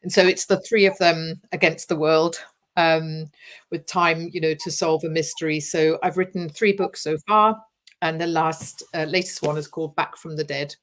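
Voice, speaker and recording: A middle-aged woman from Denmark speaks English in a low, fairly monotone voice. She is a crime author chatting thoughtfully over a video call, with frequent hesitations. Her tone stays steady and level throughout. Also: normal speed. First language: Danish. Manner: thoughtful, hesitant with many fillers. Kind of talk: casual interview talk.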